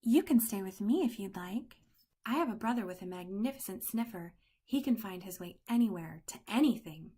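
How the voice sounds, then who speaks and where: A woman voicing a kind, cheerful young female puppy who speaks gently. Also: medium pitch, expressive, moderate pace, slightly rough. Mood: gentle. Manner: bright, gentle, softly spoken.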